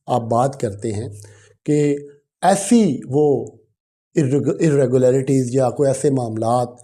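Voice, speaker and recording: A man's voice: medium pitch, mellow. A man with a Pakistani accent giving an explanatory law lecture, switching between two languages in a didactic, emphatic manner and repeating key phrases.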